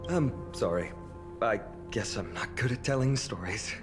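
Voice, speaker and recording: An actor playing a mild, courteous young man in a fantasy video game, speaking softly and politely. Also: lowish voice, lively intonation, a little slow. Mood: apologetic. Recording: game music behind the voice.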